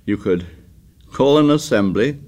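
Guy putting on a shrill worked-up kid, pushy and defensive, storybook reading, pitched up. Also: unhurried, lightly acted. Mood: insistent. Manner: uneducated grammar, insistent, excitable. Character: anxious, stubborn boy, pleading and defensive.

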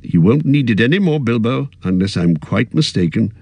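A man reading aloud, voicing a grave, wise old male wizard in a slow, measured, thoughtful way. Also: mid-range voice, expressive, gravelly, darkish tone, slightly rough, dry recording.

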